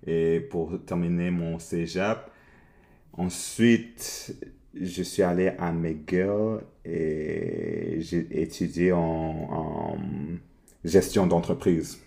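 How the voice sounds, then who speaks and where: A man from Montreal talking as a guest in a casual interview, halting often, restarting phrases and slipping into English words. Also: deep voice, steady tone, vocal fry, warm tone. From Montreal, Canada.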